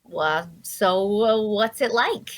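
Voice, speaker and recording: A woman's voice: mid-range voice, lively intonation, takes it slowly. A woman playing a small, chatty, inquisitive young adventurer in a fantasy audio drama. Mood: inquisitive, probing.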